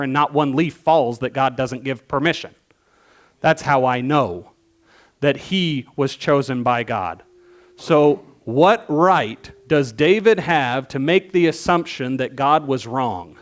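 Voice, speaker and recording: Conversational man preaching a sermon. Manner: conversational teaching, asks the congregation questions; swings between louder emphatic bursts and quiet low asides. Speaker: worship leader preaching.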